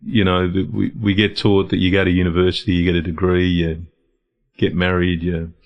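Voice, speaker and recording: Low-voiced middle-aged man. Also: completely flat delivery, a little fast, muffled recording. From Lebanon, raised in Australia. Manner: reflective, frequent restarts and repeated words. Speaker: guest, founder of a men's health organisation. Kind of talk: casual conversational interview.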